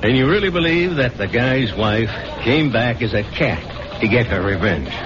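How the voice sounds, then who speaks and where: An actor playing a skeptical, unflappable newsroom chief with a wry, blunt manner, in 1970s radio drama style. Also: male voice, medium pitch, expressive, normal speed, music in the background, natural voice. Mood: dry skepticism. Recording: dull, noisy old radio broadcast.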